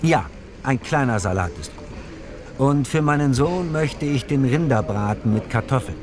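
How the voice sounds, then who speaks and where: A man acts as a polite restaurant guest in a scripted lesson dialogue. His medium-pitched voice has a lively melody. A clear crowd bustles behind him. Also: normal speed, close to an everyday voice.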